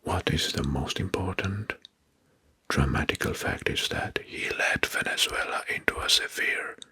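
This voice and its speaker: A man narrating soft-spoken ASMR in a breathy, husky whisper, deliberately repeating names and phrases. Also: sits low, huge pitch swings. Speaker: host of an ASMR history channel.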